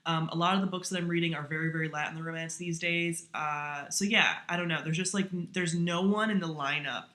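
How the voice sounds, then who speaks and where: A woman's voice: lowish voice, steady tone, a little fast, bright, forceful tone. A woman chatting casually to camera as a book reviewer, informal and slangy, with self-deprecating asides.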